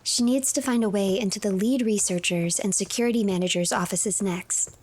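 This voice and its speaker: A woman narrating a game's story in a measured, scripted storytelling manner. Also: mid-range voice, darkish tone, slightly rough. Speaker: streamer narrator. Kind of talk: scripted storybook-like narration of a game, third person.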